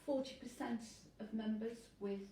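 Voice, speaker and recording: A woman priest who lives with hearing loss, speaking earnestly and in measured phrases in an interview. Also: on the high side, fairly even intonation, dark tone, reverberant, distant mic, a little hiss or hum.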